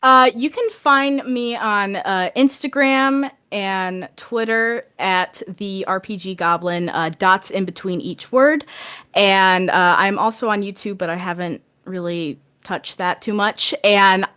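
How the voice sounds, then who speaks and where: A woman speaks as a guest on a casual hobby podcast. Her voice sits at a medium pitch, and she talks in a halting way, working out her thoughts as she goes. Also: bright, forceful tone, smooth. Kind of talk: casual podcast chat about tabletop games.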